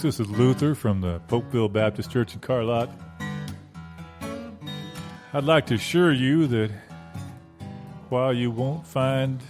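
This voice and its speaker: A man playing a folksy, sly church car salesman giving an earnest, rambling, halting local advertisement. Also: mid-range voice, natural voice. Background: plucked guitar music.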